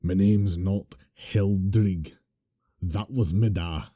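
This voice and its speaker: A man voicing a blunt, exasperated dwarf who speaks slowly and bluntly. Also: deep voice, fairly even intonation, warm tone. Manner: blunt and exasperated, drawn-out delivery.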